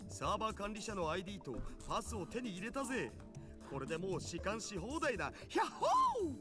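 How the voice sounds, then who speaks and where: A male actor voicing an excitable, cocky young geek hacker in a Japanese anime visual novel, slangy and playful. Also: sits high, fast, over music. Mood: excited, smug. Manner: animated, slangy, whooping.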